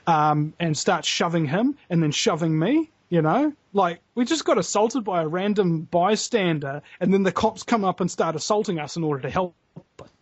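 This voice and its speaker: A man's voice: high voice, animated, normal speed, dry recording, muffled recording. A man with a New Zealand accent telling stories as a podcast guest, heated and swearing freely. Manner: heated, profane storytelling. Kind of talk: casual podcast interview.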